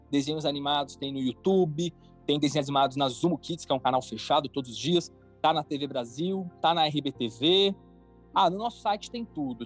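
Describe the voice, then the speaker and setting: A man's voice: fairly high pitch, vocal fry. A man with a Brazilian Portuguese accent speaking casually and emphatically as a podcast guest, often repeating phrases to drive points home. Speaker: podcast guest, cartoon creator and entrepreneur. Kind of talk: casual interview talk.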